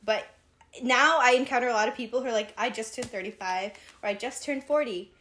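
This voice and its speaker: A woman's voice: a little high, brisk, a bit bright. A woman in her twenties co-hosting a podcast, talking casually with many fillers and restarts. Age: 20s. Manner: chatty, lots of fillers and restarts. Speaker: podcast co-host. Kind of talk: casual chat.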